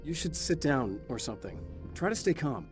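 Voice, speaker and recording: A dry, exasperated hero. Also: male voice, fairly high pitch, sing-song, moderate pace, music in the background. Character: weary married male writer hero. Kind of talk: video game cutscene acting.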